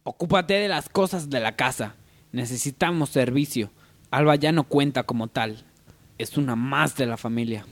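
A man's voice: medium pitch, normal speed, natural voice. A man playing a domineering company boss in a parody radio soap, imperious and melodramatic. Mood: curt. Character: stern, domineering male coffee company boss.

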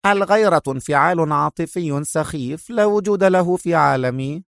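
A haughty, analytical woman operative from a spy story , acted out by a male narrator. The pitch sits well up and the delivery is cool and decisive. The sound is dry, with no room around it. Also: brisk, dry recording, close to an everyday voice. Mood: cold, disdainful.

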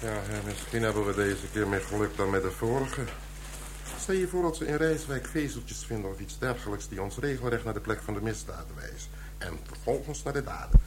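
A man's voice: on the low side, brisk, background noise, lightly acted. A man playing an easygoing, sceptical forensic policeman in a Dutch radio crime drama, bantering as he talks. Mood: wry. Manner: lively, bantering.

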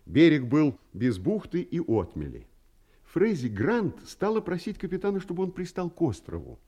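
Sailor yarn-spinner, highish male voice, swoops around a lot, measured expressive storytelling, radio play. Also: breathy edge, heavily acted. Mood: absorbed storytelling. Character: seafaring sailor, skilled teller of old sea legends. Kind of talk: Russian audio drama acting.

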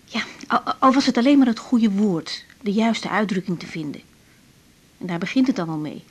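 Husky, troubled young doctor. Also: female voice, mid-range voice, animated. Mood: hesitant, troubled. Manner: halting, searching for words. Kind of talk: naturalistic Dutch radio drama dialogue.

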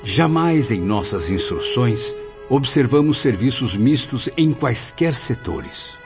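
An earnest, wondering spirit acted by a man recounts his memories. His intonation is very animated, in radio-drama style. The recording is dull, with music clearly audible underneath. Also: mid-range voice, takes it slowly. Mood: marveling. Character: awed male spirit narrator recalling wonders to a listener.

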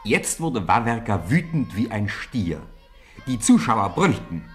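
A man narrating a 1950s radio play, telling a snowball fight with rising excitement. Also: lowish voice, measured pace, faint music behind. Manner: lively storytelling, rising with the fight. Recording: old radio play with crowd noise behind.

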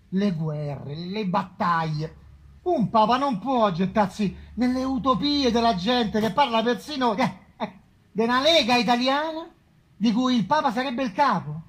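Husky, troubled pope. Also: male voice, very high-pitched, fairly bright tone, heavily acted. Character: troubled pope, solemn, indignant, fearful of conflict. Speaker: stage actor and narrator. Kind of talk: theatrical Italian stage monologue. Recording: live theatre piece with music.

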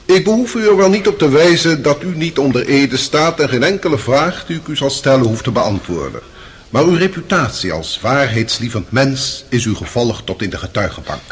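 An actor playing a stern public prosecutor in a radio drama, formal, sarcastic and insinuating. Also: male voice, medium pitch, very animated, normal speed, a little hiss or hum, natural voice. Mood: smooth, insinuating.

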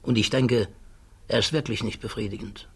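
An actor playing a principled, quietly defiant physicist under interrogation, speaking deliberately and precisely in the style of 1960s German radio drama. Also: male voice, on the low side, steady tone, slightly rough, full character voice. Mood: calm, candid. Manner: deliberate, precise, formally articulate.

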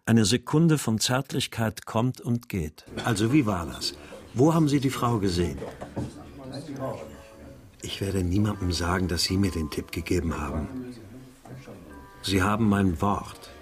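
Low-voiced, grim man in a crime drama. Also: lively intonation, unhurried, pauses often. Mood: controlled. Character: grim, determined father searching for his missing daughter. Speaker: actor narrating a crime radio play. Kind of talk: scripted first-person narration and dialogue in a crime audio drama. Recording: music behind the voice.

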